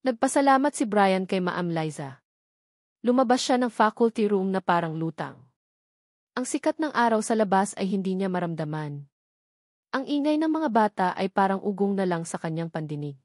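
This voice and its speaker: A woman's voice: medium pitch, darkish tone, no room sound, clean studio sound. A woman reading a scripted, dramatic romance story as narrator.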